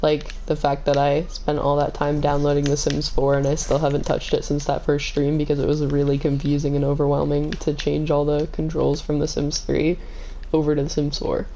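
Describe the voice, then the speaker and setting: A woman streaming a game, chatting casually with viewers, her voice a little hoarse and scratchy, kept calm and low. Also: moderate pace. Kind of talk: casual gaming stream chat.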